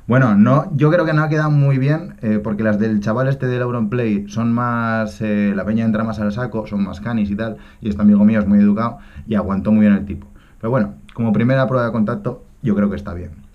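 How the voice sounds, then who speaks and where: A man's voice: lowish voice, fairly even intonation, a little fast, highs cut off. A man in his thirties with an accent from Spain, chatting casually as a prank caller. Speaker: prank caller and video host. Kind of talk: casual prank phone chat. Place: small tiled room indoors.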